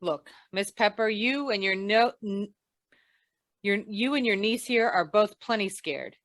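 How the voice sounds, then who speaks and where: A woman's voice: medium pitch, measured pace, fairly bright tone, no room sound, close to an everyday voice. A woman playing a wry, hard-boiled insurance investigator in an old-time radio drama, with dry, tough delivery. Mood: cool, commanding.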